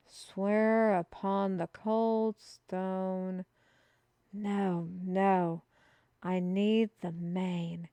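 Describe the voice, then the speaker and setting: A woman's voice: medium pitch, a little mellow, dry recording, clean studio sound. A woman playing an earnest, easily offended messenger who reads out a prophecy in a theatrical, solemn fantasy audio roleplay. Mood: puzzled. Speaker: voice actor in solo audio roleplay. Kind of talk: theatrical one-sided audio roleplay for a listener.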